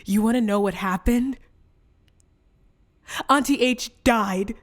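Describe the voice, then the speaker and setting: A woman's voice: a little high, slow pace. A woman playing a guarded, hurting young daughter in a radio play. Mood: anguished, angry. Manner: hesitant, evasive.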